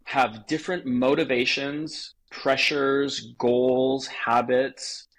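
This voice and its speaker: A man's voice: mid-range voice, little pitch movement, slow pace, a bit bright, rough recording. A man giving conversational expert advice as a podcast guest, explaining things point by point. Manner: explanatory, lists points in series, acts out sample phrases. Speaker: podcast guest, sales coach.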